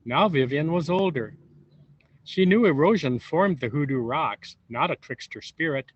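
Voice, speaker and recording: A man reading a storybook aloud to young children, expressive and unhurried, pausing for wondering asides. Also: on the high side, breathy edge. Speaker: volunteer storybook reader. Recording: roomy echo, dull lo-fi sound.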